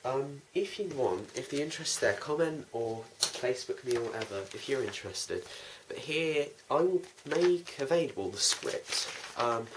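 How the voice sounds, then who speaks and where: A man's voice: medium pitch, lively intonation, moderate pace, hardly any pauses. A young man talking casually to viewers as a fan audio drama producer, easygoing with plenty of ums. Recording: background noise.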